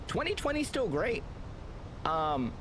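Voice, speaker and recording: Rambling streamer man with lively pitch. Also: slow pace, background noise. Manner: rambling, filler-heavy, excitable. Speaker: flight sim streamer. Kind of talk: casual gameplay commentary.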